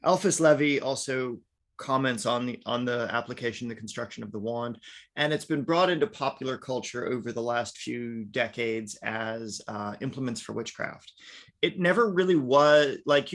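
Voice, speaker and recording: A man's voice: mid-range voice, moderate pace, fairly bright tone. A man teaching an online workshop class in a chatty lecturing way, often adding "right?". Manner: chatty, peppered with "right?" and "now".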